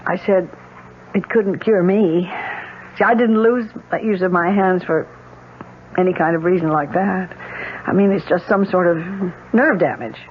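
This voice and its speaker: A woman's voice: on the low side, a little slow, natural voice. An actress playing an anxious, pleading married woman in a 1970s radio drama, hesitant and earnest. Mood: anguished, desperate. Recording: muffled old radio broadcast, faint hiss.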